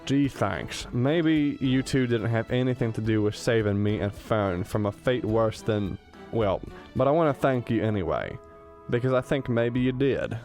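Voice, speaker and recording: A man's voice: mid-range voice, lively intonation, a little slow, few pauses, a little mellow, soft background music. A man playing a cranky, rueful old professor in a comedic radio drama, grumbling in a plain, folksy, self-deprecating way. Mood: wistful. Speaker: student radio drama actor.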